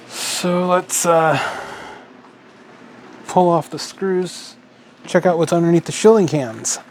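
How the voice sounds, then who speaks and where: A man's voice: fairly high pitch, darkish tone. American man muttering over noisy audio. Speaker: host of an electronics teardown video. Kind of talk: casual unscripted commentary while working. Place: indoors at a workbench. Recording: clearly noisy home recording, sometimes off-mic.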